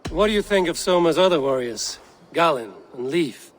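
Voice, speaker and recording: Higher-pitched, composed Viking raider. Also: male voice, animated. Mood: calm, curious. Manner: measured, direct, inquisitive. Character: composed, plain-spoken male Viking raider leader. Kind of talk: video game character dialogue. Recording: faint outdoor game ambience.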